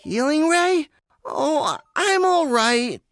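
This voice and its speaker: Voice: sits high, expressive, slow, fairly bright tone, dry recording, studio quality, soft background music, heavily acted. A performer playing a timid young boy who stammers, in a 1930s radio serial style.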